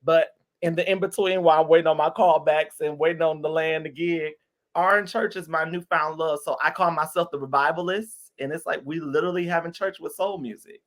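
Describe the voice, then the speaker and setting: A man in his thirties from Detroit, a guest singer chatting in an interview, expressive and animated. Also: a little high, a little fast. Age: 30s. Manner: lively, expressive, swings from loud exclamations to soft asides. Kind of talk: casual interview chat.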